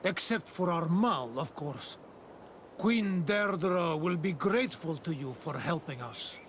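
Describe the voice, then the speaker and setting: A man's voice: a little high, animated, slow pace, slight background noise. A man playing a proud, loyal native island warrior and ally in a video game. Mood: reassuring. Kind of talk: video game cutscene acting.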